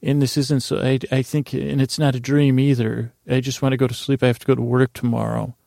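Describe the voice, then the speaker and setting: A reluctant young man stuck doing farm chores, acted out by a man in a podcast skit. He sounds plaintive and worn out, stumbling politely through his complaints in a very creaky voice. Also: medium pitch, level tone, brisk, warm tone, no room sound, studio quality, close to an everyday voice. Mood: weary, plaintive. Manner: hesitant, plaintive.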